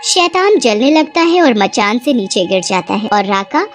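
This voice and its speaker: A woman's voice: on the high side, sing-song, normal speed. A woman reading scripted narration as the storyteller of a tale.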